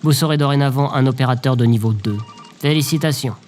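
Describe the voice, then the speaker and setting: A man playing a glum, officious government bureaucrat in a comic audio drama, deadpan and pedantic. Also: medium pitch, fast talker, natural voice. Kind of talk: audio drama comedy acting. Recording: faint background noise.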